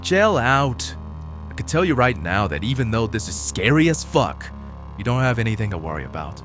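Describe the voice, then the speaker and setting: A man's voice: mid-range voice, sing-song, normal speed, soft background music, lightly acted. An actor playing a jaded male prisoner in an audio drama, blunt and dismissive, with a streetwise way of talking. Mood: reassuring.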